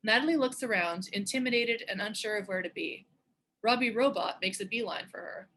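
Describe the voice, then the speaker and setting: Bright-voiced woman reading screenplay directions. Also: mid-range voice, fairly quick. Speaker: stage directions narrator. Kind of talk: scripted screenplay narration.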